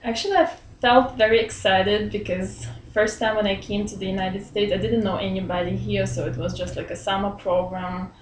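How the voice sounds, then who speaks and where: A woman's voice: medium pitch, steady tone. Belarusian woman in her thirties, roomy office. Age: 30s. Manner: friendly, laughs often, pauses to search for words. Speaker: interviewee. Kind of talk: casual interview chat. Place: small office indoors. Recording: roomy echo, faint room hum.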